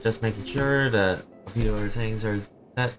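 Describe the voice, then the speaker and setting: Man, lowish voice, casual game streamer, halting rambling commentary, faint background music. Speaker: livestreamer.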